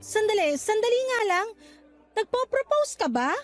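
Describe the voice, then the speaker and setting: A woman's voice: very high-pitched, sing-song, moderate pace. An actress playing a weary, curt young mother in a Tagalog radio drama, exasperated with her partner. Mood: confused, exasperated. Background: music bed.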